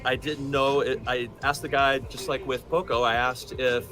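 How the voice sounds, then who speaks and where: A man's voice: mid-range voice, steady tone, moderate pace, over music, low-quality recording. A man in his forties, a voice actor being interviewed, telling stories in an enthusiastic, emphatic conversational way. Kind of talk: animated conversational storytelling.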